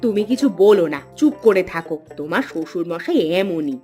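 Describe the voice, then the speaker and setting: A woman's voice: lowish voice, sing-song, brisk, mellow. A woman voicing a placating, indulgent middle-aged village mother-in-law who coaxes and soothes, in a folk-tale cartoon. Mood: gently scolding. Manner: coaxing, soothing. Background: background music.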